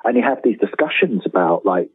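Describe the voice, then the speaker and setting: Male interview guest, slightly low husky voice, halting and self-correcting, heard over a phone-like connection. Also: lively intonation. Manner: halting, rephrases and repeats words as he thinks aloud. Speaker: guest author and lifelong fan. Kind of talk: casual interview talk. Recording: tinny, roomy telephone-like line.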